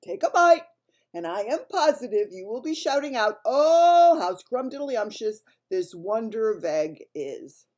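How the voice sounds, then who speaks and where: A woman voicing a big, friendly old male giant who is timid and kind, talking in a high, eager, wheedling way with mangled grammar. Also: very animated, unhurried, a little mellow. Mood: coaxing.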